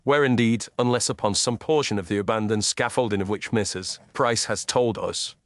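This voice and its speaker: Man voicing precise, low-voiced gentleman detective. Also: normal speed, no echo, natural voice. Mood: composed, analytical. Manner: precise, formal, deductive. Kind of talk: audiobook character dialogue.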